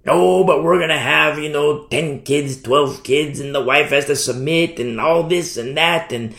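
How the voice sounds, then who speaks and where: A man's voice: fairly high pitch, unhurried, creaky, fairly bright tone. A man voicing a boastful, self-important macho man in a mocking singsong. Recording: roomy echo.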